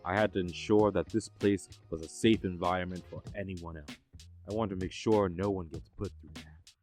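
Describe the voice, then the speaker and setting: A man playing a composed superhero agency official in an audio drama, speaking in a measured, formal and assured way. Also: deep voice, fairly even intonation, a little mellow, soft background music, natural voice. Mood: composed, businesslike. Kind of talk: scripted audio drama acting.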